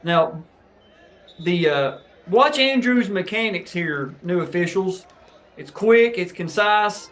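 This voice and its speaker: A man's voice: sits high, animated, slow pace, fairly bright tone. A man hosting a match review, walking new wrestling officials through the footage with an explanatory, coaching tone. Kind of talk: instructional match breakdown for officials.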